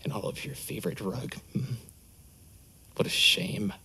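An actor playing a nervous man who killed his wife, muttering to himself in hushed tones in an audio drama. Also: fairly low pitch, unhurried, slightly rough, heavily acted. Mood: tense. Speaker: murderer hiding his wife's body.

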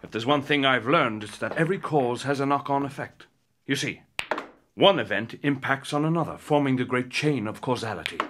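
A man's voice: mid-range voice, noisy background, natural voice. Man playing a pompous lecturing mad scientist. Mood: grandiose, lecturing. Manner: grandiose, deliberate, lecturing. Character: pompous scheming male mad scientist, devilish and exasperated. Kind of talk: comic audio drama acting.

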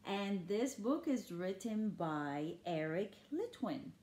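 A woman's voice: medium pitch, big pitch swings, slow, a little mellow. An older woman reading a picture book aloud to young children in an exaggerated, sing-song manner with questions for them. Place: indoors in a living room. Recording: roomy home recording.

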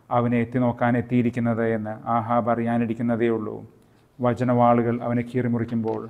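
A man, a friar and podcast host, giving a scripted Bible reflection in measured, literary phrasing. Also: mid-range voice, darkish tone.

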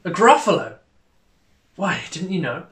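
A man's voice: sits high, sing-song, measured pace, a bit bright, echoey room, full character voice. A man voicing a small, clever, polite but boastful mouse in a storybook. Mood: politely confident.

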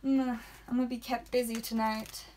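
A woman hosting a live card pack-opening stream, chatting playfully and saying sound effects aloud, with a calming voice. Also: fairly high pitch, a little fast, a little hiss or hum. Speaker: trading card stream host. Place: indoor room with whiteboard.

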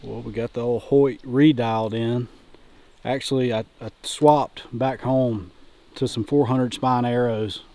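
A man's voice: medium pitch, fairly even intonation, unhurried, warm tone. A man in his thirties hosting a casual hunting vlog, talking in a folksy way with hunting slang. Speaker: hunting show host. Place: outdoors in open country, and in a vehicle cab. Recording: noisy outdoor and vehicle ambience.

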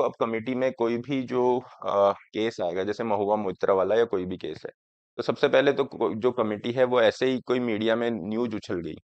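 A man in his thirties teaching an exam prep class, with a hoarse throat from a cold, slowly explaining each point and checking that students follow. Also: medium pitch, no room sound. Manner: explaining slowly, often asking students if it is clear. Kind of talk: lecture on current affairs, Hindi mixed with English. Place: studio classroom, in front of a screen. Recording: dry, slightly dull sound.

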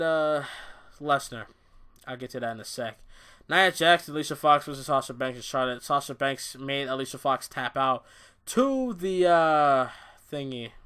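Bright, ranting, foul-mouthed male reviewer. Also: a little high, clear, resonant tone. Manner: blunt, swears a lot, ranting and exasperated. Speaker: wrestling show reviewer. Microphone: off-camera voice-only recording.

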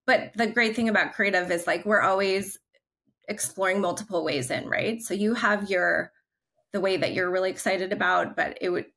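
A woman's voice: mid-range voice, moderate pace, pushed and bright. A woman in her forties, a guest on a video-call interview, talking in an upbeat, chatty way with lots of fillers from her living room. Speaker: guest, creative brand leader. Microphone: video call, laptop camera.

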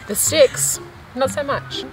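A woman's voice: sits high, slow pace, soft background music, rough recording. A woman in her twenties chatting and joking with a friend at a campsite, caught on her friend's camera. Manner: playful, laughing, teasing. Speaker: vlogger's friend. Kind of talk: casual chat.

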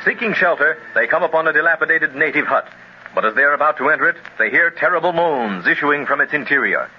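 A man's voice: a little high, animated, fast, slightly rough, muffled recording, soft background music. A man narrating a 1930s radio adventure serial with urgent, dramatic storytelling. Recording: scratchy old radio transcription with hiss.

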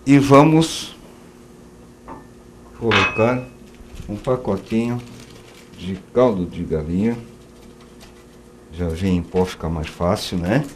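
A man's voice: lowish voice, slow pace, pauses often, noisy background. A middle-aged man hosts a cooking show and chats through each step as he cooks on a TV studio kitchen set. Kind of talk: casual chat while cooking.

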